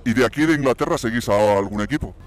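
Spanish guy doing casual matey street interviews outside, medium pitch, handheld mic. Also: quick pace. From Barcelona, Spain. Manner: informal, matey. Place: outdoors on a city bridge. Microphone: handheld interview microphone.

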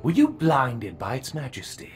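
A man playing a haughty, scornful alien high prophet in a video game, theatrical and sneering. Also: huge pitch swings, moderate pace, soft background music. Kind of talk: dramatic video game cutscene acting. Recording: game audio with loud background score.

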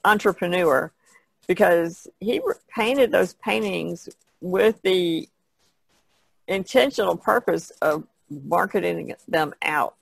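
A woman's voice: lowish voice, slow, gravelly, husky, no room sound, low-quality recording. A woman speaking casually as a panelist in a podcast discussion.

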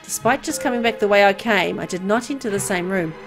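A woman reading a horror visual novel aloud in an expressive storytelling style. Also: medium pitch, brisk, over music.

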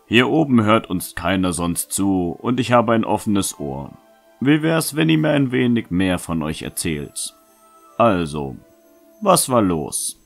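A man plays a seasoned knight with a low voice. He speaks formally and with measured, fatherly authority, as in a fantasy audio drama. Faint music plays underneath. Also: big pitch swings, vocal fry, full character voice. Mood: calm, probing. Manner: measured, formal, gently admonishing.

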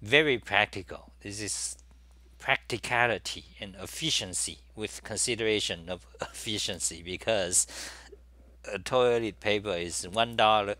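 A man's voice: on the low side, a little slow, sharp tone, dry recording. A middle-aged man, talking alone to camera in a meandering monologue full of "you know" and restarts. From Taiwan. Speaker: talk show host. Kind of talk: rambling solo monologue. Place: small room at a desk.

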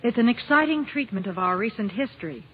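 A woman's voice: fairly high pitch, lively intonation, quick pace. A woman guest commentator giving scripted promotional talk in a 1950s radio style. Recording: muffled, noisy old radio broadcast.

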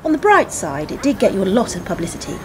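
A woman's voice: mid-range voice, big pitch swings, fast talker, slight background noise, lightly acted. A woman playing an earnest, inquisitive admirer with a questioning manner in a radio play. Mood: admiring. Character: eager, inquisitive female fan with a dull job. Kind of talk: radio drama dialogue.

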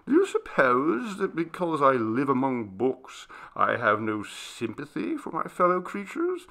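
A bookish gentleman scholar from a storybook, acted by a male reader, formal and impatient. His pitch swings widely over a creaky edge as he questions in a brusque, reserved manner. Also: heavily acted. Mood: concerned.

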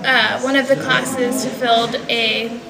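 A woman's voice: on the high side, level tone, measured pace, vocal fry, over loud music. A twenty-year-old woman, a student, answering earnestly indoors. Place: indoors by large windows. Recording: echoey room with chatter.

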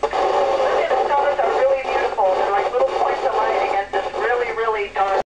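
A woman's voice: fairly high pitch, moderate pace. A woman astronaut answering questions in plain, simple terms over an amateur two-way radio link. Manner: plain, simple explanations, signing off with radio procedure. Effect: two-way radio transmission. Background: radio noise.

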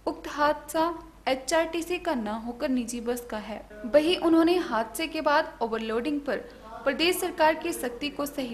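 A woman reading a scripted news voice-over in an even, formal way. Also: high voice, a little hiss or hum. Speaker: news reporter.

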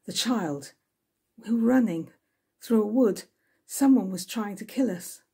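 Woman acting earnest young woman, husky voice. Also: mid-range voice, unhurried, mellow, voice pushed up, heavily acted. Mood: frightened. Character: Zoe, kindly young woman at a retreat house.

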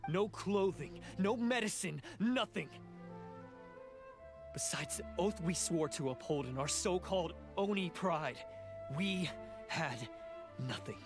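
An actor voicing a proud, bitter outcast oni demon in a video game, speaking with earnest, scornful drama. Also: male voice, sits high, expressive, takes it slowly, pauses often, full character voice. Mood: anguished, indignant. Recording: game music over the voice.

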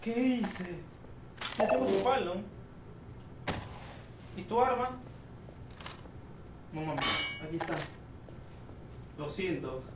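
Excitable young man streaming a game. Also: high-pitched, slow, long pauses. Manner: excitable, chatty, sudden loud outbursts. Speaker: livestreamer. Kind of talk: casual gameplay livestream chat. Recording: noisy echoey room, from far off.

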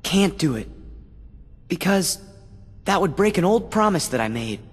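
A man's voice: sits high, big pitch swings, a little slow, breathy edge, soft background music, exaggerated voice. An actor playing an earnest, determined teenage boy hero in a video game. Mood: resolute. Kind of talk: video game cutscene acting. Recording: long hall reverb.

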